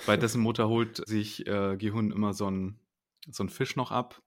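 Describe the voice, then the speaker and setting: Relaxed, chatty man, lowish voice. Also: moderate pace. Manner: relaxed, chatty, laughs easily. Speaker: podcast co-host. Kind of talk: casual chat reviewing a series.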